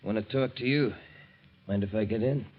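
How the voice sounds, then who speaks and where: An actor playing a threatening gangster in a 1940s radio drama, smug and slangy. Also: male voice, on the low side, brisk, slight background noise, natural voice. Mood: menacing. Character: menacing gangster with a gun. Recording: muffled 1940s radio recording.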